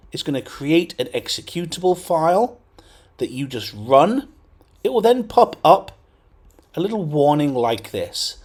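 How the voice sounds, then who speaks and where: A man hosting a casual computer tutorial, talking through steps with frequent fillers and checks like "okay?". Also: on the high side. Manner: chatty, lots of fillers and okays. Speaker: tech tutorial host. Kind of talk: casual screen-recorded tutorial.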